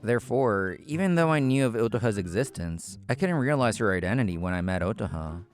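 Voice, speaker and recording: A man narrating a scripted story in the first person, in a measured, explanatory manner. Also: lowish voice, lively intonation, faint music behind. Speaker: narrator and main character of a manga video.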